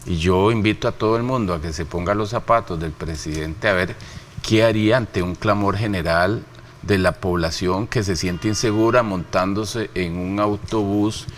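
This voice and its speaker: Emphatic man, low voice. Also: normal speed, a little hiss or hum. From Costa Rica. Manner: emphatic, argues with rhetorical questions. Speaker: interview guest, human rights official. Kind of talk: formal interview answers.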